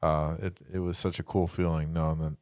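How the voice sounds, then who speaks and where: A man's voice: very deep voice, little pitch movement, brisk, darkish tone, clean tone, no room sound, clean studio sound. A man hosting a podcast in a conversational devotional style, earnestly exhorting listeners and repeating phrases as he goes. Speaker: podcast host, church ministry speaker.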